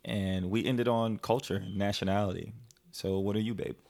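An American man from the New Jersey area, hosting a casual relationship podcast with his wife and laughing often. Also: on the low side. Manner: animated, laughs often, quick asides to the audience. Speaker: podcast host.

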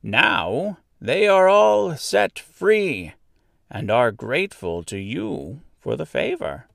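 A little old woman from a storybook, a good witch with a calm, kindly temper, acted out by a man. The delivery is slow and stretched out, swinging widely in pitch, with some creak in the voice. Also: dry recording, studio quality, exaggerated voice. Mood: calm, explaining. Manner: deliberate, stretched-out, explanatory phrasing. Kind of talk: storybook character voice.

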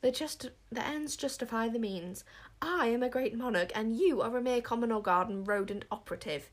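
A woman's voice: fairly high pitch, moderate pace, voice pushed up, natural voice. A woman voicing an imperious, icy warrior queen in a storybook, haughty, commanding and scornful. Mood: icy outrage. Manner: commanding, withering, snapping.

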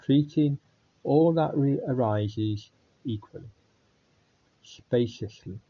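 Slow-speaking man guiding meditation. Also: mid-range voice, husky, lo-fi sound. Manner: calm, unhurried, with long pauses. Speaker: meditation guide. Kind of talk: guided body scan meditation.

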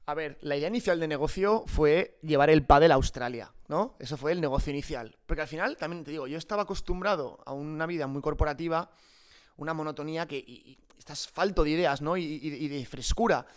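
A man with a fairly high voice and a Peninsular Spanish accent talks as a guest in an unscripted interview. He tells his stories in an informal, colloquial way. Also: a little fast, clean tone. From Spain. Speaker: podcast guest, startup co-founder. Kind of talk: improvised conversational interview.

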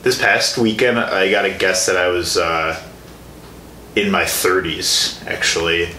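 A young man in his twenties with a fairly low but bright, forward voice hosts a casual interview. His phrasing wanders tentatively, and the room rings with noticeable echo. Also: steady tone, unhurried. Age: 20s. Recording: echoey room with some background noise.

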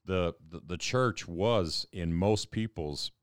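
A man's voice: low voice, slow pace, no room sound, clean studio sound. A man pastor talking thoughtfully in a casual panel discussion, often repeating words as he thinks.

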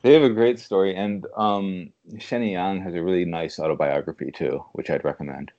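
Really low mellow guy chatting in an interview, thinks as he goes, bit lo-fi. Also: a little fast. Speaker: podcast guest, literary translator. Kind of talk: conversational interview.

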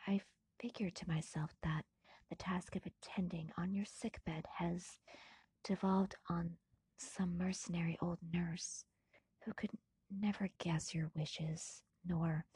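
An American woman voicing a tender, anxious young woman's letter in a classic audiobook, soft, breathy, gentle and earnest. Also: lowish voice, no echo. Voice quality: soft, breathy, half-whispered. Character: tender, anxious young woman writing to her beloved cousin. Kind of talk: dramatic audiobook reading of a classic novel.

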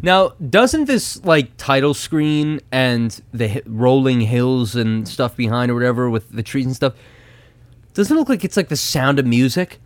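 Mid-pitched man riffing casually. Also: expressive, dry recording. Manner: riffing, quick, laughs at jokes. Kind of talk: casual podcast banter.

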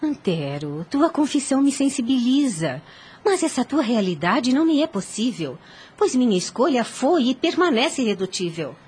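A woman's voice: on the high side, big pitch swings, moderate pace, a little mellow, breathy edge, heavily acted. An actress playing a dutiful, resolute widowed lady in a Brazilian radio soap, with formal, theatrical phrasing. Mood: troubled.

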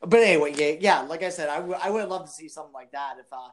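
A man's voice: on the high side, quick pace. A man co-hosting a casual film podcast, reacting with incredulous interjections. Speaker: podcast co-host. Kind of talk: casual chat about films.

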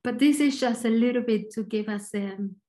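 A woman with an Argentinian accent giving a short, earnest devotional talk, reflective and pausing. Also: a little high, mellow. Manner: earnest, reflective, slow pauses. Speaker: church speaker.

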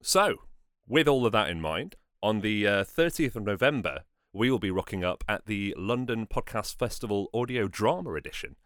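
A man's voice: on the low side, lively intonation, moderate pace, fairly bright tone, dry recording, clean studio sound. A man speaking as a podcast producer and host, making informal announcements in an enthusiastic, conversational way.

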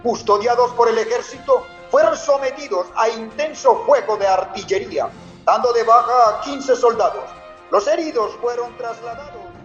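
A man's voice: extremely high pitch, moderate pace. A man playing an urgent, declamatory Colombian newsreader of the 1950s on an old radio. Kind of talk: 1950s radio news acting. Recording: lo-fi echoey old radio, music behind.

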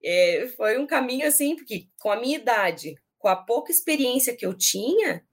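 A Brazilian woman in her thirties with a rural São Paulo accent and drawn-out r, talking casually as an interview guest. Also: a little high, very animated, breathy edge. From São Paulo state, Brazil. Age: 30s. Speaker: interview guest, education professional.

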